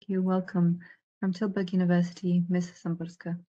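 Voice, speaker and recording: A woman speaking as the host of a debate tournament, making formal announcements over a video call with a husky voice. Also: lowish voice, completely flat delivery, moderate pace, mellow, dull audio.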